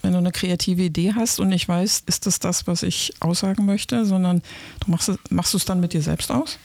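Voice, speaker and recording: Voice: mid-range voice, steady tone, normal speed, vocal fry, darkish tone, no room sound. A podcast host interviewing a guest in a casual conversation, asking curious, probing questions. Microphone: podcast microphone.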